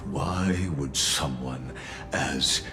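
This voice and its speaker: An actor voicing a coldly polite, scheming villain in a video game, speaking in a pompous, formal and mocking way. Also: male voice, very deep voice, extremely slow, exaggerated voice. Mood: mocking, probing. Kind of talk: dramatic video game voice acting. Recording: music behind the voice. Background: music.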